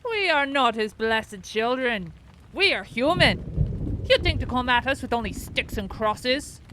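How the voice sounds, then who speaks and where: A woman's voice: a little high, animated, unhurried, background noise. A woman playing a taunting human servant of a vampire who sneers at her foes. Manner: mocking and scornful. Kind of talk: audio drama acting.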